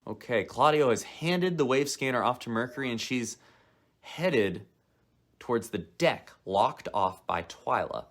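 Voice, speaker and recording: A man's voice: mid-range voice, expressive, a little slow, fairly bright tone. An actor playing a male radio news reporter giving urgent live reports in an audio drama. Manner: urgent newscaster delivery. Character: upbeat male space-station radio reporter. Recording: music bed underneath.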